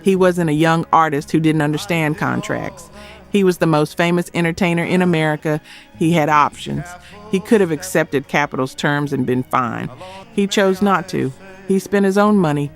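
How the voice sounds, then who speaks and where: A man delivering scripted documentary narration in emphatic short phrases with dramatic pauses. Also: a little high, creaky. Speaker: narrator of a music history video. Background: background music.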